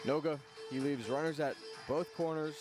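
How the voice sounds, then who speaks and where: A man calling live baseball play-by-play for radio, in short measured phrases with pauses between them. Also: fairly high pitch, steady tone, over music. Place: press box at an outdoor ballpark.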